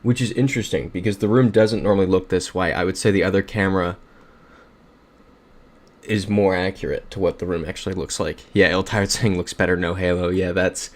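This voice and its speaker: A man's voice: low voice, steady tone, fairly quick. A young man streaming casually from a home room at a desk mic, upbeat, thinking aloud and reading out chat messages. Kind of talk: casual livestream commentary.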